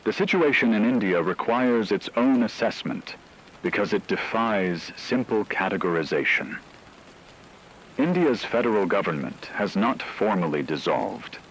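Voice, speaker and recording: A man delivering scripted news analysis on the radio in a measured, formal manner. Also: sits low, a bit bright, slight background noise, low-quality recording.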